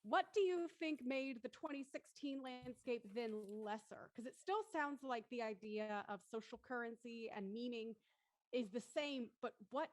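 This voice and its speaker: A woman moderating a casual panel discussion, explaining things and putting questions to the group. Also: on the high side. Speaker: moderator of a post-show discussion.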